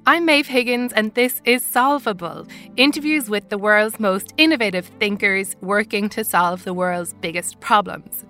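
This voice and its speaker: A woman with an Irish accent, hosting and narrating a podcast intro in an earnest, measured way. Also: medium pitch, pushed and bright, soft background music. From Ireland. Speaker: podcast host. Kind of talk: scripted narration.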